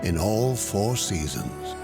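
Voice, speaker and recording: A man narrating a travel documentary in a calm, measured scripted voice-over. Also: on the low side. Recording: voice-over with music behind. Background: music.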